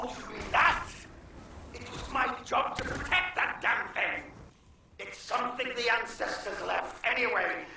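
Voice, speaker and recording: A man voicing a manic alien pyromaniac villain in a video game, erratic, swinging between begging and threats. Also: extremely high pitch. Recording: distant, echoing, noisy game audio.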